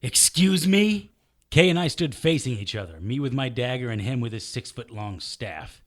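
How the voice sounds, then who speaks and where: A man's voice: medium pitch, animated, moderate pace, natural voice. A man playing a tough, sardonic fighter who tells his battles in first person in a noir audio drama. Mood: angry, defiant. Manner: sardonic, gritty. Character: tough, wry immortal male fighter narrating his own battles.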